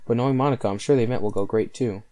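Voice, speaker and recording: Mellow-voiced man reading a game aloud. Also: mid-range voice, very fast. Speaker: let's play host. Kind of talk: reading visual novel text aloud.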